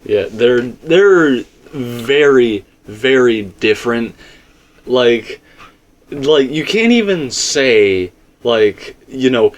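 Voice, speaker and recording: A man's voice: medium pitch, animated, extremely slow, dull audio. A man hosting a casual fan discussion, explaining things informally and speaking straight to the viewers. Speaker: host of a fan theory discussion.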